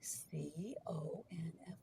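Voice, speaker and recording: A woman's voice: low voice, takes it slowly. A woman presenting to a board in a conference room, chatty and self-deprecating, laughing at her own nerves. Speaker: guest presenter. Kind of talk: informal talk to a board. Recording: distant mic with clear noise.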